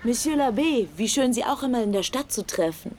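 An actress playing a teasing, forward single woman with a breathy, husky voice in a radio play. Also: a little high, lively intonation, a little fast, darkish tone, a little hiss or hum, natural voice. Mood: playfully flirtatious. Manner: coquettish, playful.

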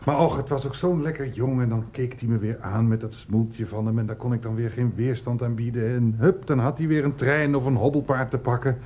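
An actor playing an old, doting uncle in a radio comedy, rambling fondly and repeating himself. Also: male voice, medium pitch, fairly even intonation, brisk, few pauses, highs cut off, lightly acted. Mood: fond, proud. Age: older. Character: doting, chatty old uncle with fond memories. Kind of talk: 1990s radio comedy drama acting.

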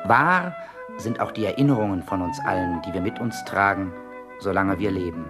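An actor playing a cheeky, self-assured young writer in a radio play, with a sly, polite manner. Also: male voice, low voice, animated, normal speed, music in the background. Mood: reflective. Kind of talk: radio play acting and narration.

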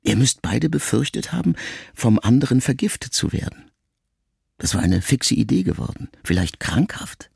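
A middle-aged actor playing a reflective grown son, a writer, who addresses his dying mother in a measured, confessional literary monologue for a radio play. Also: mid-range voice, big pitch swings, creaky, a little mellow, husky, dry recording, exaggerated voice. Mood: sombre, brooding. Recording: music behind the voice. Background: music.

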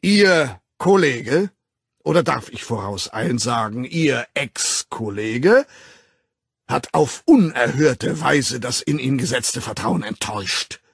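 A man reading an audiobook voices a pompous, authoritarian male director who denounces an employee in slow, loud, declamatory speech. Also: medium pitch, big pitch swings, gravelly, breathy edge, no echo, clean studio sound, full character voice. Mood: declaiming. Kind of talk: audiobook character voice.

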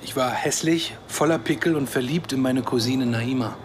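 A man's voice: medium pitch, moderate pace, lightly acted. Actor as earnest, wry Palestinian man, noisy ambience. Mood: wry, confessional. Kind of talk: naturalistic German radio drama acting.

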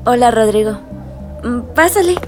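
An actress playing a spirited young Mexican village woman in a radio drama, expressive and colloquial. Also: fairly high pitch, normal speed, music in the background, natural voice. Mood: chatty.

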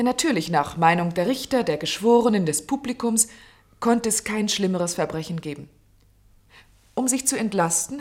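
A woman's voice: mid-range voice, a little mellow, close to an everyday voice. A woman playing a determined, idealistic woman in a German radio drama, speaking earnestly, insistently and articulately. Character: determined, idealistic woman pleading to save a condemned man.

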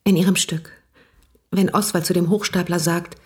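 An actress playing a candid, gently skeptical young married woman in a radio play, speaking in a measured, direct, quietly ironic way. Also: lowish voice, steady tone, close to an everyday voice. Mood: searching, serious. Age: young adult.

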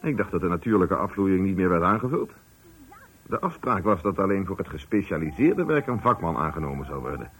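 A husky, pompous factory boss. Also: male voice, low-pitched, sing-song, a little fast, exaggerated voice. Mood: irritated, demanding. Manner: pompous, condescending, rhetorical questioning. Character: hard-nosed, pompous male factory director, scornful of workers. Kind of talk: Dutch radio play acting.

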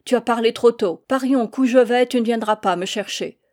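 A woman's voice: a little high, measured pace, lightly acted. A woman reading aloud, voicing a fierce older male smuggler captain who bellows commanding, defiant orders.

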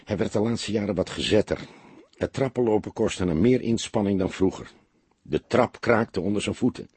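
A man narrating an audiobook story from a script in a measured, expressive way. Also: lowish voice, slightly rough, no room sound.

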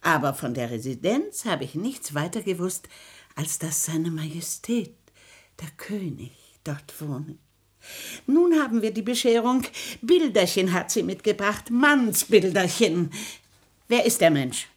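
A woman's voice: on the low side, big pitch swings, unhurried, husky, heavily acted. An actress playing a fussy, bossy, doting old grandmother in a theatrical radio comedy, exclaiming and scolding. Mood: scandalised. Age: older. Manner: scolding, exclamatory, chatty, old-fashioned stage German.

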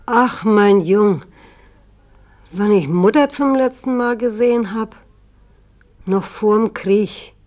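A woman's voice: mid-range voice, slow pace, long pauses, darkish tone, close to an everyday voice. An older woman in a radio drama playing a kindly old aunt who reminisces in a homely, rambling, self-questioning way. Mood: searching memory.

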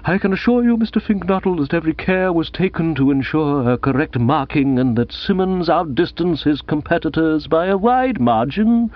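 A man's voice: fairly high pitch, lively intonation, normal speed, hardly any pauses, dry recording, lo-fi sound, pitched above the performer's own voice, lightly acted. A man voicing an earnest, formally reassuring schoolmaster with an emphatic manner in a comic audiobook. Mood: earnest, placating.

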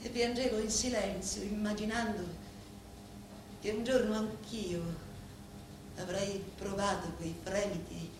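An actress with a Sicilian accent playing a mob boss's bitter, taunting, sensual wife in a theatrical monologue. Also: female voice, medium pitch. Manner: scornful, deliberate, theatrical. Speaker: stage actress. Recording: very echoey stage hall, faint noise.

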